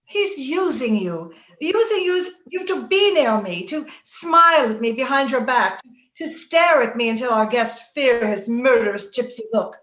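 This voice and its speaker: A woman's voice: a little high, fairly bright tone. An actress playing an anguished, jealous, haughty young married lady in an old-time radio drama, with a melodramatic delivery. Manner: melodramatic, halting when upset. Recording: roomy, slightly dull remote-call sound.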